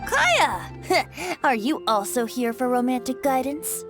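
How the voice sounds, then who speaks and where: A woman's voice: high voice, big pitch swings, takes it slowly, exaggerated voice. A woman voicing a carefree, boyish young male bard in an anime game, breezy and mischievous. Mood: breezy, playful. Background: playful game music.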